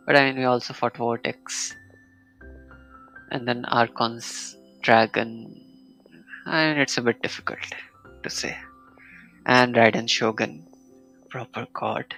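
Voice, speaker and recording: A man's voice: mid-range voice, takes it slowly, pauses often, sharp tone, poor audio. A man giving casual live commentary as he plays a video game. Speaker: game streamer. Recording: faint game music behind.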